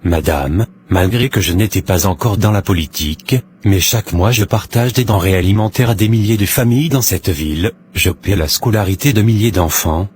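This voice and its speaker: An actor playing an earnest, self-righteous businessman running for parliament in an animated drama, speaking formally in speeches. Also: low voice, a little hiss or hum, lightly acted. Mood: defensive, insistent. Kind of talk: animated drama dialogue, political interview answers.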